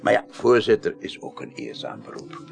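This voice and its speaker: Low, creaky, genial parliament chairman. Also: big pitch swings, normal speed, very noisy, exaggerated voice. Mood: wry, explanatory. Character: male parliament chairman, genial, wry, dignified official. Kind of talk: Dutch radio crime drama acting, early 1980s.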